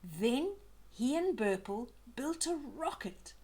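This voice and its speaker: A woman's voice: sits high, sing-song, slow, darkish tone. An older woman reading a children's story aloud, expressively, with chatty asides to young listeners.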